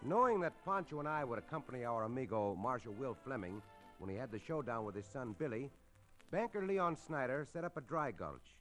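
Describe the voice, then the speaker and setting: An actor playing a noble, suave gunfighter hero in an old radio western. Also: male voice, medium pitch, animated, a little fast, fairly bright tone, faint music behind, close to an everyday voice. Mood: dramatic narration. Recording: noisy vintage radio recording.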